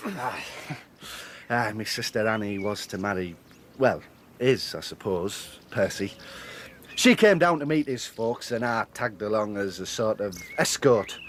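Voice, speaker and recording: An actor playing a talkative, jovial ironmaster's son turned stockbroker with a Northern English accent in a radio drama. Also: male voice, fairly low pitch, animated, unhurried, slight background noise. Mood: subdued, concerned. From Northumberland, England.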